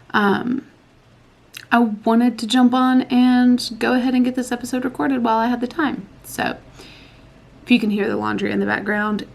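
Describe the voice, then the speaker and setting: A woman, hosting a casual craft podcast from home at night, a bit hoarse after losing her voice, chatty and rambling. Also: on the high side. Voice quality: hoarse, recovering from lost voice. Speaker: podcast host. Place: home at night, laundry running.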